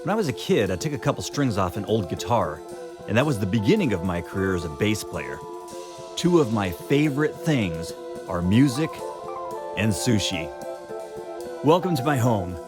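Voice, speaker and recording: Man, show host, scripted intro, lively pitch, playful announcer delivery, loud band music behind. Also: moderate pace. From Japan. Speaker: host and bassist. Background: funky band music.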